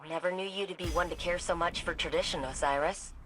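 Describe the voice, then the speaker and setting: A woman's voice: on the low side, quick pace, background noise, natural voice. A woman playing a breezy, wry field operative in a video game, talking casually.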